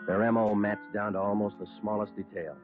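An actor playing a terse, businesslike American police sergeant, narrating his case in a deadpan old radio crime drama style. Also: lowish voice, brisk, lightly acted. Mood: matter-of-fact. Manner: clipped, deadpan, matter-of-fact. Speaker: detective narrator. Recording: muffled old radio broadcast, music behind.

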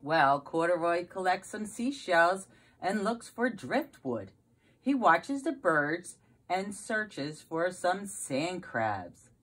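A middle-aged woman with a low voice reads a children's picture book aloud. Her pace is unhurried and her intonation very animated, with exclamations and questions aimed at small listeners. She sounds like a preschool teacher, recorded on a phone at home. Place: carpeted basement room at home, indoors.

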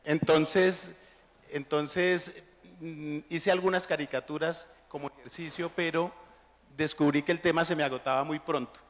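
A middle-aged man with a Colombian accent tells relaxed stories on a panel stage. His voice sits somewhat high. The handheld mic picks up the long reverb of a large hall. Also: unhurried. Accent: Colombian Spanish. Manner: relaxed storytelling, anecdotal. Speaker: cartoonist panelist. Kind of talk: onstage panel talk.

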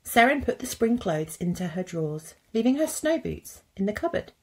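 A woman in her thirties narrating a picture book as a teacher, with deliberate phrasing for young children. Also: fairly high pitch, very animated, husky, poor audio. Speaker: teacher reading a story. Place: indoors at a computer.

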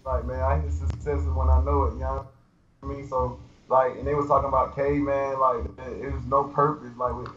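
A man's voice: mid-range voice, little pitch movement, a little fast. A man giving a casual interview in loose street slang, with repeated verbal tags. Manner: loose, repeats "you know what I mean". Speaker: interview guest, rapper. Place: indoor studio room, on a video call. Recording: echoey, dull, noisy video-call audio.

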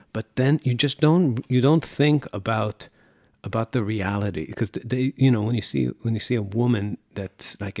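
A man with a low voice and plenty of fry, recorded dry and close. He chats casually as a podcast co-host, rambling through blunt opinions in crude, informal language. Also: darkish tone, no echo.

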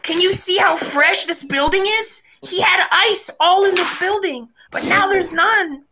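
A woman plays a boastful, excitable creature in a comic game dub. The voice is extremely high and unhurried. Clear noise and clicks run through the recording. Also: exaggerated voice. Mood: excited. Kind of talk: improvised comedy fan dub.

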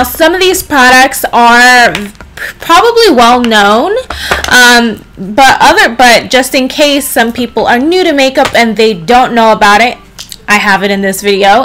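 A young woman in her twenties with a slightly raspy voice, chatting casually to camera in a bedroom as a beauty vlogger, just up from a nap. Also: on the high side, rough recording. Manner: chatty, expressive hand gestures, playful asides. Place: bedroom, indoors. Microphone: camera in front at desk distance.

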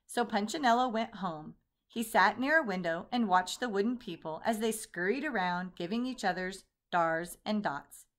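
Expressive woman reading a picture book. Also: medium pitch, normal speed. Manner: expressive, storytelling. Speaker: storybook reader. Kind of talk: picture book read-aloud.

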